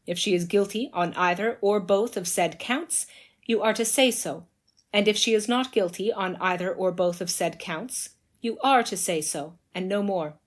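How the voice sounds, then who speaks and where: A woman's voice: medium pitch, moderate pace, lightly acted. Woman playing a solemn, declamatory court clerk. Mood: formal, solemn. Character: female court clerk solemnly reading out a murder indictment. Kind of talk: formal courtroom reenactment, reading aloud.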